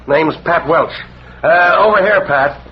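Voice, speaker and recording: A man's voice: fairly high pitch, measured pace, breathy edge. An actor playing a skeptical, sarcastic police sergeant in 1940s radio drama, needling and full of tough-guy slang. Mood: sarcastic, mocking. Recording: noisy muffled vintage radio recording.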